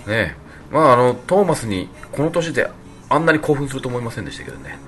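A man's voice: medium pitch, lively intonation, fast, a bit bright. A man chatting casually as co-host of a radio show. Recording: faint music behind the talk. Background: faint background music.

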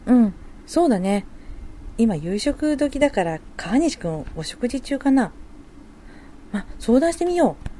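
A woman acts out a gentle young working mother in a radio play. Her voice is fairly high and softly mellow, conversational and a little concerned, with some noise behind it. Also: big pitch swings, unhurried. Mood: curious. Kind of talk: amateur radio drama dialogue.

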